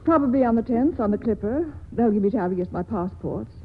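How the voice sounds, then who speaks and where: A woman's voice: on the high side, lively intonation, a little fast. An actress in a 1940s radio drama playing a wealthy, worldly society woman, poised and dryly amused. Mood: dry, cool. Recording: tinny, noisy 1940s radio broadcast.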